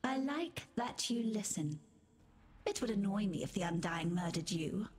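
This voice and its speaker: Slow, unhinged woman acting a mad undying queen. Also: mid-range voice, expressive, darkish tone, lightly acted. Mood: rambling, disgusted. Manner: unhinged, repetitive, theatrical, muttering. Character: mad, bitter, undying queen rambling to a stranger. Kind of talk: theatrical game dialogue.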